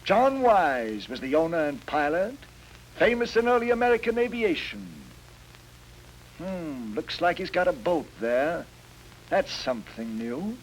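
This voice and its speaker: A man's voice: on the high side, sing-song, unhurried, low-quality recording. An actor playing a wry, playfully pompous male lecturer giving comic commentary in a 1940s training film. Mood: showy, pompous. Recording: 1940s film soundtrack over music.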